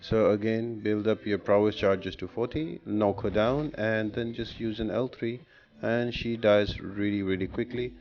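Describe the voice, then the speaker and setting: A man's voice: fairly low pitch, a little slow, dull audio. A man giving instructional gameplay commentary, explaining tactics step by step as a guide creator.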